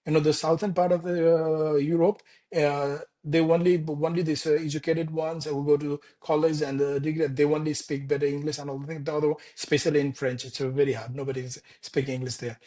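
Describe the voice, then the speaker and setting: A man in his thirties from Nepal with a Nepali accent, speaking as an interview guest in a casual, halting way with many restarts and fillers. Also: fairly high pitch, level tone, dark tone. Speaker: architect guest. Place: Denmark.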